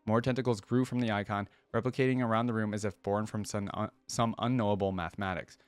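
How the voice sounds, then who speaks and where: A man with a fairly low voice and a close, dry sound hosts a reaction video. He reads fantasy prose aloud and drops in informal, slangy asides of his own. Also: steady tone, fast, smooth, dry recording. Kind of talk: reading a fantasy story aloud with casual commentary.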